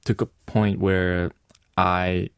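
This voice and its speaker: Low-voiced soft-spoken young man. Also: level tone, slow pace, clear, resonant tone, no room sound, studio quality. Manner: soft-spoken, hesitant, many fillers and restarts. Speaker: singer-songwriter and producer guest. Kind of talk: casual interview answers.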